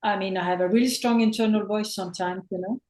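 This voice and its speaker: A woman's voice: mid-range voice, fairly even intonation, fast talker, darkish tone, echoey room. A woman talking in a meeting on a video call, searching for words, trailing off and starting again. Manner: searching, trails off and restarts, says "like" a lot. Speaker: participant in a meeting. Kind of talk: casual spiritual conversation.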